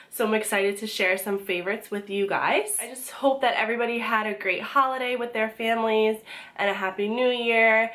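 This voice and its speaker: A young woman talks through her favorite beauty products in a relaxed, chatty way, her voice a little hoarse from being sick. She pauses often on "um" and restarts her sentences as she goes. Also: mid-range voice. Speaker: beauty vlogger. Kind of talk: casual product review to camera.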